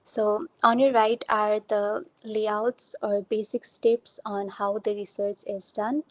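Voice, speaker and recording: Young Nepali woman presenting online, flat delivery. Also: mid-range voice, slow, no echo, lo-fi sound. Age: young adult. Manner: explains step by step with examples and fillers. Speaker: student researcher giving a talk. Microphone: computer mic on a video call.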